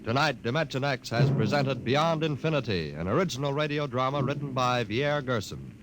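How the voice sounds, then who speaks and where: Lively-voiced vintage radio announcer man. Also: mid-range voice, moderate pace, over loud music. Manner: crisp, formal announcing. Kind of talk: 1950s radio closing credits. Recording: noisy vintage radio recording.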